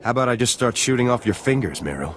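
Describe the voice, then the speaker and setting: An actor playing a ruthless, sardonic sergeant in a video game, curt and threatening. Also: male voice, mid-range voice, big pitch swings, fast, slight background noise, lightly acted. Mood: menacing. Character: menacing, ruthless male soldier, a sergeant in a space army. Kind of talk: video game cutscene acting.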